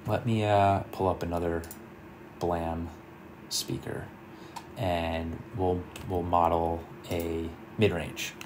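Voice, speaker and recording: A man presenting an informal screen-share software tutorial, explaining things and thinking aloud as he works. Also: sits low, flat delivery, slow pace, noisy background. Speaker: car audio tutorial presenter.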